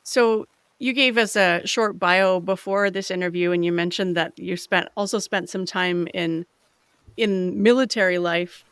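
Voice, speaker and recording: A podcast co-host asks gentle, curious questions. The voice is smooth and clear, and the recording is dry. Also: mid-range voice, measured pace, dry recording. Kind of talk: casual interview conversation.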